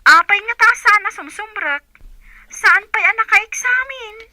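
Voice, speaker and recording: A woman's voice: extremely high pitch, lively intonation, measured pace, bright, forceful tone, exaggerated voice. An actress with a Filipino accent playing an inquisitive young female student, insistently questioning through a telephone filter in a radio drama. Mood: puzzled.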